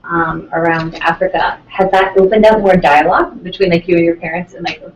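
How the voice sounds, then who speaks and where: A woman in the audience asking a measured, polite question. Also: lowish voice, steady tone. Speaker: audience member. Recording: echoey room from far off.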